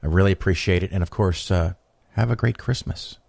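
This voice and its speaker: Very deep-voiced guy hosting a podcast, dry close sound, laid back and wry. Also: a little fast, vocal fry, breathy edge, no echo. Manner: relaxed, wry asides. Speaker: podcast host. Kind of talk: casual interview chat.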